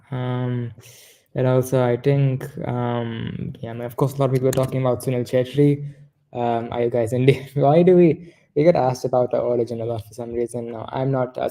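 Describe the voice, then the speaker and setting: A man's voice: medium pitch, dark tone, rough recording. A man co-hosting a casual football discussion, rambling and often correcting himself mid-sentence. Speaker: football podcast co-host.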